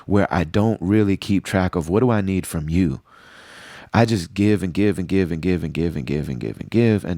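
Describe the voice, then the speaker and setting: A middle-aged man with a very low, deep voice talks through a solo podcast reflection. He speaks earnestly and circles back over his words, often checking in with the listener. The sound is dry and close, with no room around it. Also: darkish tone, no room sound. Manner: earnest, repeats phrases, frequent "you know what I'm saying". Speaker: podcast host.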